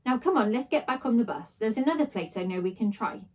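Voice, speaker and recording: A woman's voice: a little high, lively intonation, quick pace, a little mellow. Woman reading as a kind, hopeful mum. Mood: firm, reassuring. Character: kind, hopeful mother reassuring her young child.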